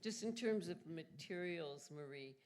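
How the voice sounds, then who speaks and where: A woman in the audience asking the artist halting, curious questions about materials. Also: fairly low pitch, animated, darkish tone, a little hiss or hum. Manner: inquisitive, halting.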